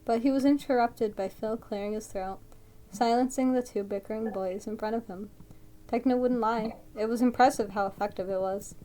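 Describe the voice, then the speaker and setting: A woman with a fairly high voice reads fiction aloud in a casual way. She slips into blunt, sweary, mocking commentary. The recording carries faint noise and small clicks. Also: moderate pace, dark tone, poor audio. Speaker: reader reacting to a fan fiction story. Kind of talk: reading a story aloud with casual, profane commentary.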